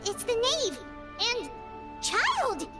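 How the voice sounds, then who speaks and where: A woman playing a small, childlike, excitable fairy companion in an anime game, with a squeaky, exclamatory way of talking. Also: very slow, distant mic, loud music behind the voice, heavily acted. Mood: excited surprise. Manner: speaks of herself in third person, exclamatory.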